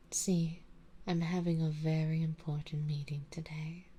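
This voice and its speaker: A woman playing an elegant, doting, possessive vampire noble in an ASMR roleplay, in a soft, breathy, mostly whispered voice with a genteel, coaxing manner. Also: sits low. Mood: composed, coaxing.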